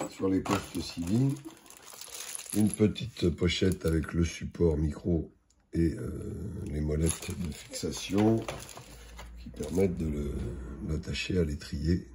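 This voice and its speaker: A man giving an unscripted product demonstration in a hesitant, halting, matter-of-fact way. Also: deep voice, dark tone, a little hiss or hum. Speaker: product presenter.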